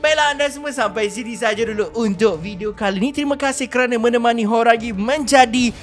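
A man with a Malaysian accent hosting a gaming video, giving excited, theatrical and repetitive role-play commentary on his play. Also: very high-pitched, fast talker, faint music behind.

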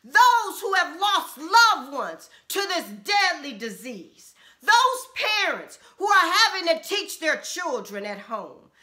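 A middle-aged woman pastor preaching a sermon from a small room at home, with impassioned repeated refrains and drawn-out emphasis. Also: very high-pitched, very animated, pushed and bright.

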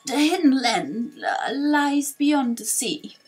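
A woman voicing a forgetful old male tortoise elder in a video game, halting and murmuring as he talks. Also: high-pitched, animated, soft background music, pitched above the performer's own voice, heavily acted. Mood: sage, musing. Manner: slow, halting, mumbling.

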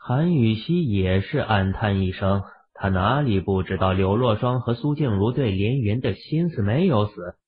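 A man's voice: fairly low pitch, husky. A man narrating a novel as a scripted dramatic audiobook. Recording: muffled, phone-like, lo-fi audio.

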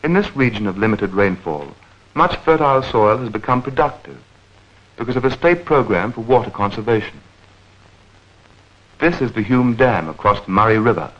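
A man narrating a 1940s educational newsreel in a measured, clearly enunciated, explanatory manner. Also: lowish voice, lively intonation, fairly bright tone. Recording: dull vintage 1940s film soundtrack.

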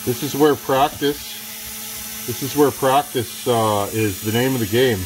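Man, workshop tutorial, relaxed chatty delivery, middle pitch, grinder noise behind. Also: measured pace. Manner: relaxed, chatty, self-deprecating asides while working. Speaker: chainsaw builder demonstrating porting. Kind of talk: casual hands-on workshop tutorial. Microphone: camera close to workbench, speaker off camera. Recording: noisy workshop with power tool. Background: rotary grinding tool whirring.

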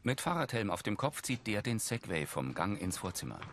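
A man's voice: fairly low pitch, sing-song, brisk, noisy background. A man reading scripted audio description for a TV film in a matter-of-fact way.

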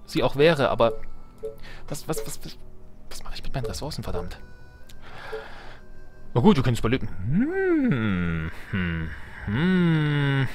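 A man's voice: medium pitch, huge pitch swings, unhurried, pauses often, darkish tone. A man giving casual, joking gameplay commentary as a let's player, playing with words and muttering to himself. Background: music.

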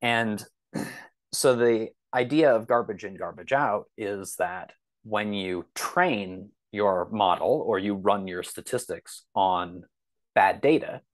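A man with a fairly low voice chats as an interview guest. He explains things discursively, wandering into examples and asides. The sound is dry and close, with little room to it. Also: slow, no echo. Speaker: podcast guest, science fiction author. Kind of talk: casual podcast interview talk, explaining.

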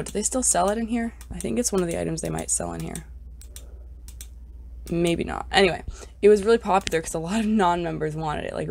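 A young woman talks over her gameplay in a chatty, bubbly way, sighing over how cute everything is. Her voice is scratchy and stuffed up from allergies, with a low pitch and a lot of gravelly fry at the ends of her words. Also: darkish tone. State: congested, scratchy voice. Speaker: gaming YouTuber. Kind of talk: casual gameplay commentary.

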